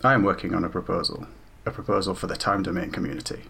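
A man plays a defiant pantomime lord with a deep, husky voice. He declaims grandly and proudly, gravelly and forceful, as if rallying a city. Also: steady tone, fast, exaggerated voice. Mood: grave, resolute. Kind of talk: amateur comic pantomime acting.

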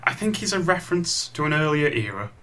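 Man as bright, animated pantomime narrator. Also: a little high, brisk. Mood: storytelling. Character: wry, impatient male pantomime narrator. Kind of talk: scripted comic storytelling narration.

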